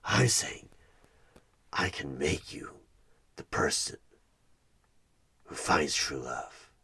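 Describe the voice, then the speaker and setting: Evil tempter for horror audio roleplay: slow, forceful, sharp-edged, breathy delivery, smooth-talking and sinister. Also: male voice, rumbling low, expressive, full character voice. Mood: persuasive, menacing. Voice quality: husky, breathy, half-whispered. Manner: slow, deliberate, coaxing with dramatic pauses. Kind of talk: creepy ASMR horror roleplay monologue.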